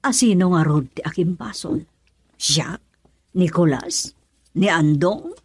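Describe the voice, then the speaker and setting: A woman's voice: on the low side, expressive, slow, darkish tone, slightly rough, full character voice. A woman with an Ilocano accent playing a scolding middle-aged mother in a radio drama.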